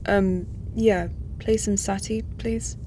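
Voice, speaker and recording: A woman's voice: medium pitch, fairly even intonation, slow pace, noisy background, close to an everyday voice. A woman playing a withdrawn, weary human spacefarer in an audio drama, subdued and terse.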